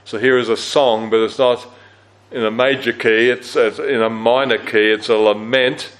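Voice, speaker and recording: Low-voiced man teaching a Bible study. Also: steady tone, normal speed, a bit bright. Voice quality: low-register voice. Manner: conversational, with frequent fillers and self-corrections. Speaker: Bible teacher at a church camp.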